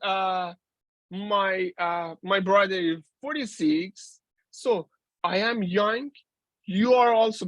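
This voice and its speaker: Slow, halting man lecturing. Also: high-pitched, a bit bright, no room sound. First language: Persian. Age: 40s. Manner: halting, with many restarts and fillers. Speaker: guest lecturer. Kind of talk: university lecture.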